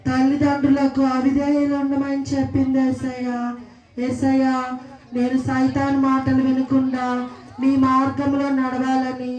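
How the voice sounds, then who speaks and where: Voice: sits high, completely flat delivery, moderate pace, a little mellow, lo-fi sound, lightly acted. A performer voicing a humble, repentant young boy puppet, pleading earnestly in a children's gospel puppet show. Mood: remorseful, pleading. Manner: pleading, earnest, repetitive prayerful address. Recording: echoey live hall with music behind.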